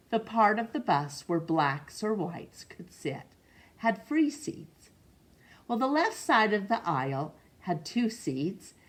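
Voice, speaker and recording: A middle-aged woman reading a picture book aloud in a measured, expressive way for children. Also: fairly low pitch. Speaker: storytime reader. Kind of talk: read-aloud storybook narration. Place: small room indoors.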